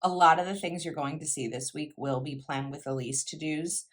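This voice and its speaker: Low-voiced woman chatting through her planner. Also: normal speed. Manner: chatty and rambling, with fillers and self-corrections. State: slightly froggy voice from heavy talking. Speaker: planner YouTuber. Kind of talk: casual planner vlog chat.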